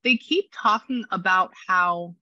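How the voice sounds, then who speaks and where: Woman medium, low slightly raspy voice, chatty, relaying spirit messages, dry close sound. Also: measured pace, pushed and bright, no echo, dull audio. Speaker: psychic medium. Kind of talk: spirit reading session.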